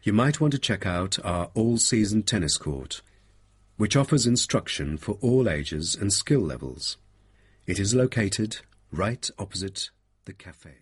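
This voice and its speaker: A man reading a scripted guided presentation as a helpful guide with a husky voice. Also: on the low side, lively intonation, unhurried. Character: helpful male guide presenting a park's facilities. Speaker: voice actor reading listening test parts. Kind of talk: scripted narration.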